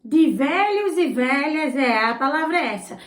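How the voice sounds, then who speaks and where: A woman's voice: high-pitched, expressive, a little slow. A woman who speaks Portuguese as a learned language, hosting her channel with indignant, sarcastic political commentary. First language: not Portuguese. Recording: roomy echo.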